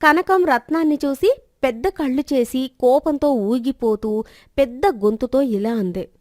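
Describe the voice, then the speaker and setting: A woman's voice: sits high, unhurried, a little mellow, no echo, studio quality. A woman narrating a scripted moral story.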